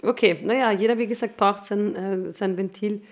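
A woman's voice: mid-range voice, steady tone, moderate pace. A woman hosting a podcast interview, friendly and affirming with frequent laughter. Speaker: podcast host.

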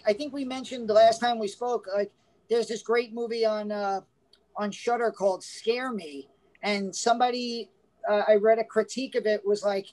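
A man's voice: sits high, darkish tone, rough recording. Man, podcast co-host, casual film review chat, rambling, restarts a lot.

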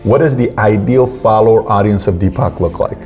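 A man hosting a conversational podcast interview, sometimes halting and repeating words as he forms his thoughts. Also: lowish voice, a little mellow, faint music behind. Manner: halting, repeats words while forming questions.

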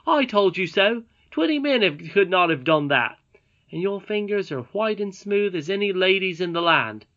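A woman's voice: medium pitch, animated, normal speed, a bit bright, voice pushed up, lightly acted. A woman voicing an earnest, wondering young boy miner while reading a storybook aloud. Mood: astonished. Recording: dull lo-fi recording.

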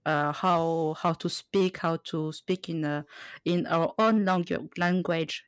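A woman hosting a podcast, talking slowly and haltingly and restarting her words often. Her voice sits very low for a woman, and the sound is dry and close. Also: fairly even intonation, dry recording. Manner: hesitant, halting, many restarts. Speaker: podcast host. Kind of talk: casual podcast conversation.

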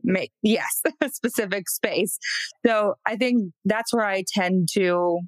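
A woman's voice: mid-range voice, slow pace, no echo. A woman co-hosting a podcast, a casting director, speaking thoughtfully and feeling her way through her points. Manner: thoughtful, searching, restarts sentences. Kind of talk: interview conversation.

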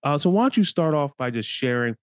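A man's voice: on the high side, expressive, a little fast. A man hosting an interview podcast in a casual, conversational style. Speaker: podcast host.

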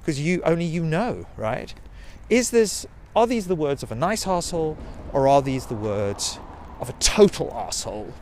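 A man's voice: a little high, very animated, moderate pace, darkish tone, a little hiss or hum. A middle-aged man from South Africa with a South African accent, rambling profanely and self-mockingly in a casual recorded message. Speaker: video message maker. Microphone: handheld recorder.

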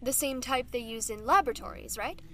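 An actress playing a news anchor who asks polite, eager questions in a radio drama. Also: female voice, high voice, brisk, bright, forceful tone, a little hiss or hum. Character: female news anchor hosting a live broadcast.

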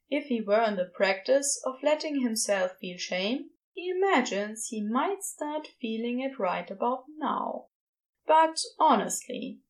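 A woman narrating scripted fiction as the storyteller of an audio drama. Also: a little high.

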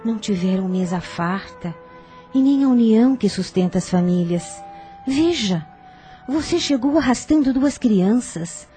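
An actress with a Brazilian accent playing a gentle, sensible, consoling younger sister in a radio soap opera. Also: female voice, high-pitched. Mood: wise, gentle. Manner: earnest, measured, theatrical. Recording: music under the voice.

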